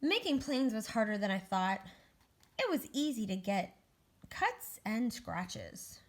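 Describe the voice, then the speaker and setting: An anxious, wistful little egg tells its own picture-book story in earnest , read by a woman. The voice sits at an average pitch with plenty of gravelly fry. It is a simple, everyday storybook delivery. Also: big pitch swings, slow pace. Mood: determined. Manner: earnest storybook first-person narration. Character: anxious, wistful egg recovering from a great fall.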